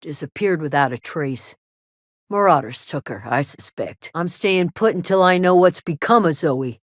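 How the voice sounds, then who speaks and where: A woman playing a gruff, guarded frontier settler who watches over a camp, terse and plain-spoken, in a video game. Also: low-pitched, expressive, moderate pace, dry recording, studio quality. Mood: worried, dutiful. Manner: terse, wary, plain-spoken with folksy drawn phrasing. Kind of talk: video game character dialogue.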